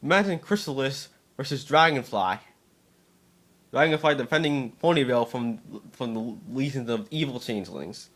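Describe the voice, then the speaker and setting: A man's voice: medium pitch, lively intonation, normal speed, fairly bright tone. A man reading aloud as an amateur narrator, now and then stumbling over his words. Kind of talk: dramatic story reading by an amateur.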